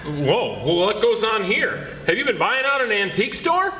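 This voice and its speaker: Man playing a very high, bright pitchman. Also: big pitch swings, moderate pace, slight background noise, exaggerated voice. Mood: eager salesmanship. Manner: salesy, folksy, eager. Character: chirpy, relentless floor-wax pitchman dropping by. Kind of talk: 1940s radio comedy announcer acting. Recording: echoey live stage recording.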